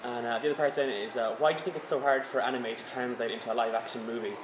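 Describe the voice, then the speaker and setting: A man's voice: medium pitch, steady tone, rapid-fire. Hesitant man asking from the audience. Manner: hesitant, full of fillers. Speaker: audience member asking a question. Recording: echoey hall, distant mic, loud background noise.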